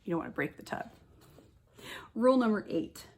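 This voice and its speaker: Husky woman reading aloud to young children. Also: medium pitch. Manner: deliberate, expressive storytelling with stressed words. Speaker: kindergarten teacher. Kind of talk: children's picture book read-aloud with chatty asides.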